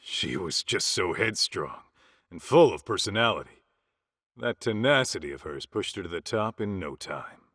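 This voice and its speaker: An actor playing a gruff, loyal yakuza bodyguard turned detective, blunt and streetwise, in a video game cutscene. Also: male voice, low-pitched, lively intonation, moderate pace, a bit bright, no echo, studio quality, exaggerated voice. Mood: reminiscing.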